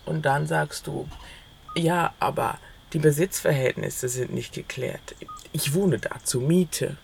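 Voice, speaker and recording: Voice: medium pitch, slow pace, vocal fry, slight background noise. A narrator telling a first-person audio story in the present tense, weaving quoted dialogue into the narration.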